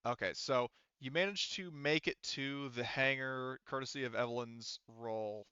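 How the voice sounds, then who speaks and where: Bright-voiced, halting male game master, dull recording. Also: mid-range voice, clear, resonant tone, no echo. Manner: halting, rambling, sweary. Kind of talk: casual improvised tabletop game narration.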